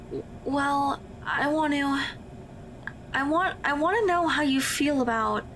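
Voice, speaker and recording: High-voiced, awkward teenage girl played by a woman. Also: a little slow, a bit bright, slight background noise. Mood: flustered. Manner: hesitant, stammering when flustered. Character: awkward, nervous high school girl with a crush. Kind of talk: audio drama acting.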